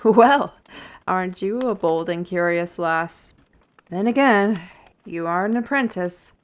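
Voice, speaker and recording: A woman's voice: lowish voice, slow pace. A woman playing a wry, cryptic artist on parole in a fantasy audio roleplay, grudgingly hospitable and speaking with theatrical deliberation. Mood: wry, restless. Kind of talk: audio roleplay acting addressed to listener.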